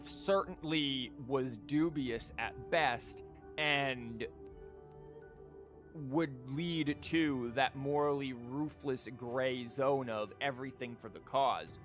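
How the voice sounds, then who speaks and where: A man's voice: medium pitch, takes it slowly, fairly bright tone, soft background music. A man livestreaming a game with casual, rambling commentary and a sarcastic, wry manner.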